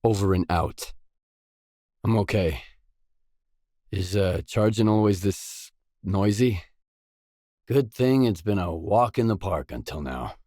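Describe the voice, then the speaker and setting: An actor playing a guarded, dry, tough stalker mercenary in a video game, with terse, blunt delivery. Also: male voice, sits low, animated, takes it slowly, long pauses, mellow, no room sound, heavily acted. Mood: calm, matter-of-fact. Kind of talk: video game voice acting, isolated dialogue lines.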